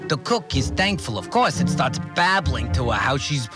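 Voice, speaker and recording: An actor playing an obsessive, resentful cleaner confiding in a dramatic audio diary, agitated and brooding. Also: male voice, medium pitch, sing-song, moderate pace, over loud music, close to an everyday voice. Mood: agitated disbelief. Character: obsessive, unhinged male cleaner, seething with murderous resentment. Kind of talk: dramatic first-person audio diary monologue.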